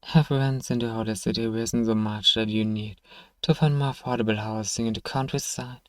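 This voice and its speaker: A man playing a gruff, sardonic but grudgingly kind apothecary shopkeeper in an ASMR fantasy roleplay, dryly teasing and sighing as he talks to one listener. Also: on the low side, fairly even intonation, a little fast, no echo, clean studio sound, natural voice. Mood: sarcastic.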